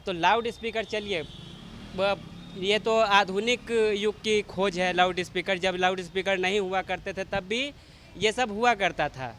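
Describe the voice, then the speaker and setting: A man's voice: high-pitched, fairly even intonation, unhurried, fairly bright tone. A man giving his opinion in a street interview, arguing his case point by point. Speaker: interviewed member of the public. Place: outdoors on a city street. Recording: street noise behind the voice.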